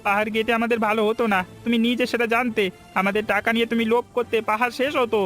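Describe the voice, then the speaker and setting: পুরুষের গলায় খুব চড়া, উজ্জ্বল স্বরের গ্রাম্য স্বামী. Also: male voice, very high voice, little pitch movement, quick pace, a bit bright, soft background music. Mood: stern. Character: plain-spoken village husband, loyal, stubborn, hospitable. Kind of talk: Bengali cartoon story voice acting.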